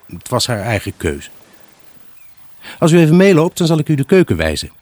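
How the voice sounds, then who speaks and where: An actor playing a wistful, evasive husband left alone, in a halting, pensive radio drama monologue. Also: male voice, mid-range voice, huge pitch swings, a little mellow, no echo. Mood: nostalgic. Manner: halting, pensive, addressing an unseen buyer. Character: wistful, evasive abandoned husband selling his house.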